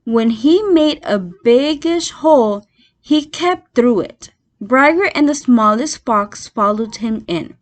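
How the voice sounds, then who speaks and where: A woman's voice: a little high, expressive, takes it slowly. A woman reading a children's storybook aloud as its narrator. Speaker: storybook reader.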